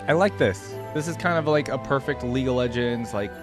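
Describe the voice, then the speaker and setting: A man's voice: mid-range voice, a little fast, music in the background. A man giving casual, easygoing reaction commentary as a video host, rambling and thinking aloud.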